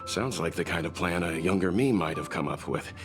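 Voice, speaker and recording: A man voicing a world-wise older mentor in a video game, calm, reflective and reserved, with music behind. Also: sits low, brisk, lightly acted. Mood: thoughtful, wry. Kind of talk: restrained video game voice acting.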